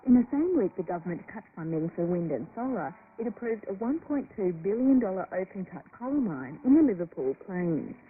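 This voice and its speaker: A woman narrates a scripted radio news report. Her voice sits at an average pitch. It arrives over shortwave, thin and phone-like, with a faint bed of noise. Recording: noisy narrowband shortwave radio broadcast.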